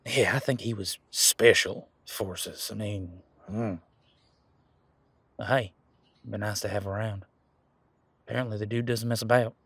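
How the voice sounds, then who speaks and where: A man's voice: on the low side, steady tone, fairly bright tone, slightly rough, dry recording, full character voice. Easygoing werewolf bodyguard played by a man, close and dry. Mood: musing, intrigued. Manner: casual, halting, folksy phrasing. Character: young male werewolf bodyguard, protective, easygoing, bashful. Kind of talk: roleplay audio drama, conversational monologue to listener.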